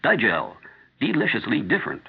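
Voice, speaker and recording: A man's voice: mid-range voice, huge pitch swings, moderate pace, vocal fry, noisy background. A man reading an emphatic 1970s radio product advertisement as a commercial announcer. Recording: narrow tinny old radio broadcast.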